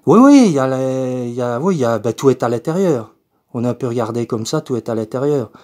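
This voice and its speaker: A man's voice: mid-range voice, animated, fast, dark tone, lightly acted. A man voicing a confused, polite eighty-year-old woman with a Belgian French accent, hesitant and repetitive, as in a prank phone call. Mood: insistent, chatty.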